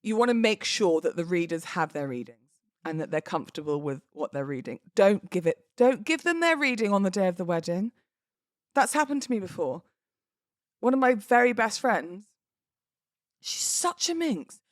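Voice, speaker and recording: A woman's voice: mid-range voice, big pitch swings, normal speed, dry recording, clean studio sound. A British woman hosting a podcast on her own, chatting in a playful, theatrical way. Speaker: podcast host and wedding celebrant. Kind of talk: chatty solo podcast talk.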